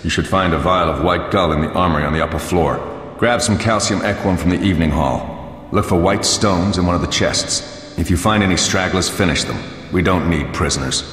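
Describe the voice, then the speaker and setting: An actor playing a hard, brusque warrior in a video game, practical and matter-of-fact. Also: male voice, sits low, fairly quick, fairly bright tone. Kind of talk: dramatic video game dialogue. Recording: echoey hall reverb with music behind.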